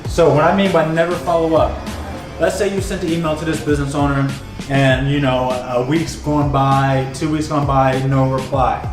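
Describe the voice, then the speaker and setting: A man's voice: on the high side, little pitch movement, normal speed, echoey room, music in the background. A young man with a stuffy voice from a cold, energetically teaching at a whiteboard and checking in with "okay?" as he goes. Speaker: business coach. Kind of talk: whiteboard tutorial. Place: bright office by a whiteboard.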